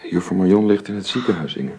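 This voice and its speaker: An actor playing a worried, exhausted father with a husky voice in a radio drama. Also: deep voice, quick pace, very noisy, natural voice. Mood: worried, weary. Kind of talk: Dutch radio drama acting.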